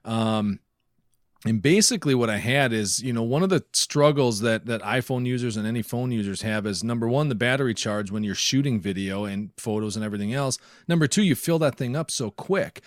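A man's voice: mid-range voice, expressive, a little fast, no echo. A man hosts a casual podcast in an ordinary mid-range voice. The sound is dry, with almost no room around him, and he talks in a loose conversational way. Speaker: podcast co-host.

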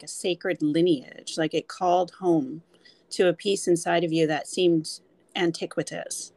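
A woman's voice: lowish voice, a little mellow, no room sound. A woman hosting an interview, reflecting back the guest's words in spiritual terms. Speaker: host.

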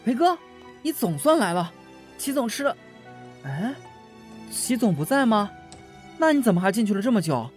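A young male office worker played by a man chatters away in an audio drama. His voice is high and very animated. He is teasing, eager and a bit nosy. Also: moderate pace, pauses often, soft background music. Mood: surprised, concerned. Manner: chatty, playful.

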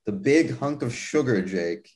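A man's voice: mid-range voice, lively intonation, unhurried, over a noisy crowd. A young man co-hosting a sports podcast, chatting casually with dry, wry remarks. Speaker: podcast co-host.

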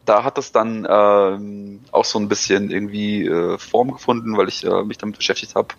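A man in his thirties answering interview questions in a halting way, with many filler sounds. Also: fairly low pitch, fairly even intonation, vocal fry. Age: 30s. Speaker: podcast guest. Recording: dull dry lo-fi recording.

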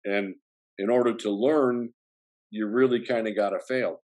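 A man's voice: lowish voice, fairly even intonation, normal speed, darkish tone. A man with a strong Chicago accent, an actor telling his story in an interview with many fillers and restarts. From south side of Chicago. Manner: rambling, full of "you know" fillers and restarts. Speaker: actor guest.

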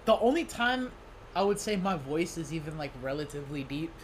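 Young male co-host, higher voice, sassy and energetic, noisy room. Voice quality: higher-pitched voice, self-described. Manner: energetic, sassy, quick to joke. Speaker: podcast co-host. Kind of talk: casual chat.

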